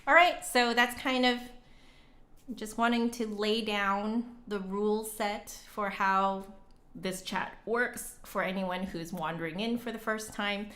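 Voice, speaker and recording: A woman talks slowly in a roomy, echoey space, hosting a casual livestream. She rambles and thinks aloud, with halting phrases as she chats to her viewers. Her pitch sits in a middle range. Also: steady tone, fairly bright tone. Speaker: livestream host, flute musician and teacher. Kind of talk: casual livestream chat, reading and answering comments. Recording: roomy, echoey indoor sound.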